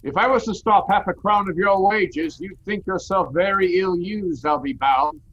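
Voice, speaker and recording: An actor playing a grumpy, miserly old employer in an audio play, with a grudging, curmudgeonly manner. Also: male voice, high voice, lively intonation, moderate pace, a bit bright, breathy edge, dull audio, full character voice. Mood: grumbling, grudging.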